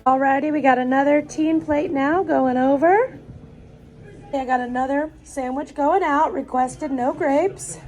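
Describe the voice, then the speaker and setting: Hoarse chatty mom vlogging a hotel room. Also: female voice, high-pitched, measured pace, a little mellow, soft background music. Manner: chatty, upbeat running commentary. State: hoarse, losing her voice. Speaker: vlogger, mother of a large family. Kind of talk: casual vlog narration while cooking. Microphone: handheld phone.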